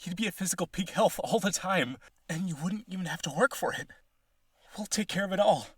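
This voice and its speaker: A man's voice: high voice, animated, brisk, slightly rough, dry recording, studio quality. A man playing a rich, devoted vampire aristocrat in a roleplay audio, earnest and pleading, with gushing, hesitant speech. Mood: devoted, coaxing.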